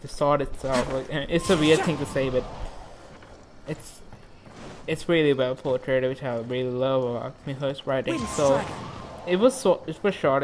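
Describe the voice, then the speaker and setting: A man giving casual, excitable and rambling reaction commentary on a video game in an informal way. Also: mid-range voice, a little hiss or hum. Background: game music.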